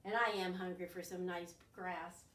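A woman voicing a great big male billy goat, low-voiced and confident. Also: steady tone, fairly bright tone, a little hiss or hum, lightly acted. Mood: grumbling. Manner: slow, low, sure of himself. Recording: roomy echo, distant mic.

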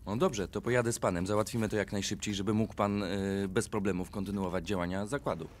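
A man's voice: lowish voice, steady tone, quick pace, a little hiss or hum, natural voice. An actor playing a brooding, decisive, short-tempered man in a radio drama, with a curt manner. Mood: agitated, decisive.

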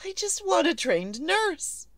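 A woman's voice: extremely high pitch, sing-song, slow pace, no echo, heavily acted. A woman playing a nervous, demanding invalid wife in a melodramatic 1940s radio suspense style. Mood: demanding, tense.

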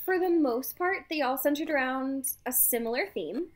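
A woman co-hosting a podcast in casual chat, giggling often and trailing off softly at the ends of sentences. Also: sits high, animated, normal speed. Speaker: podcast co-host.

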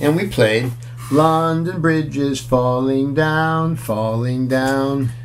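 Guy in his 60s reading a kids book out loud, slow n very expressive, bit of noise in the background. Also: mid-range voice. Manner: expressive, playful, inviting listeners along. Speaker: children's storyteller. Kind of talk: picture book read-aloud with casual asides. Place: small room at home, indoors.

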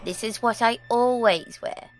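A woman's voice: on the high side, a little slow, soft background music, lightly acted. An actress playing a prim, ancient girl in a nine-year-old's body, in an audio drama. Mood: prim, composed. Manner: proper, old-fashioned formal phrasing.

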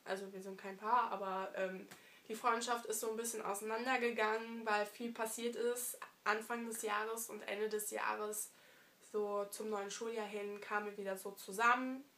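A young woman vlogging casually from her living room with a stuffy nose from a cold, chatty and affectionate, pausing often with fillers. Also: medium pitch, little pitch movement, fairly bright tone. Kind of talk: casual year-review vlog.

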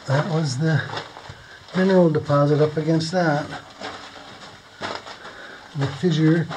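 A man casually narrating as he hikes a desert canyon and explores old mine tunnels, relaxed and chatty, pointing things out along the way. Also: a little high, slow, background noise. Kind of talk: casual narration while hiking.

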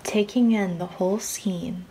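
A woman reading a scripted guided imagery meditation in a calm, soothing, measured manner with long pauses. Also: medium pitch, slight background noise, low-quality recording.

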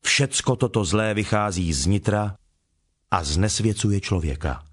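An actor playing Jesus as a stern, earnest teacher in a dramatized scripture reading, with measured, emphatic delivery. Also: male voice, fairly low pitch, slightly rough. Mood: grave. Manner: measured, emphatic, solemn declamation. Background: music.

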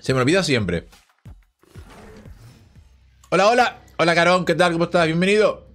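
A man's voice: fairly high pitch, moderate pace, soft background music. A man in his thirties streaming a card game from a small home room on a headset mic, chatting casually and replying to viewers. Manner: relaxed, chatty, reads and answers chat. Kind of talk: casual live-stream chat while playing.

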